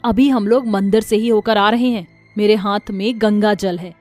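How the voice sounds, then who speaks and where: A man's voice: high-pitched, fairly even intonation, normal speed, no echo, soft background music, pitched above the performer's own voice, natural voice. A man voicing a brave young village wife who fiercely confronts a witch in a cartoon story. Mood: threatening. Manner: shouting, accusing, fierce.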